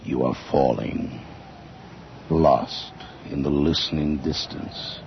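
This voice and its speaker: A man announcing a radio drama in an ominous, theatrical and measured scripted style. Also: extremely low pitch, gravelly, slight background noise. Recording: muffled 1980 radio broadcast with music.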